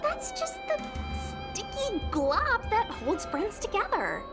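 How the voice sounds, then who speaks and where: A woman voicing a loyal, easily scared but well-meaning young girl in a video game, expressive and earnest. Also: extremely high pitch, slow pace, over loud music, heavily acted. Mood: reassuring.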